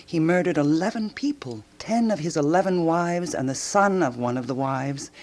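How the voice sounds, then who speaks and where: A woman's voice: deep voice, normal speed, a little mellow, lightly acted. A woman playing a self-assured amateur detective of 1920s Paris in a radio drama, emphatic and theatrical. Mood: intrigued, scheming.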